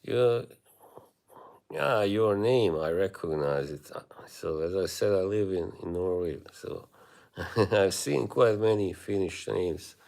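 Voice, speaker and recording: A man from Bosnia with a Bosnian accent, chatting casually with viewers on a live stream, rambling and halting with many fillers. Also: sits low, vocal fry, a little mellow.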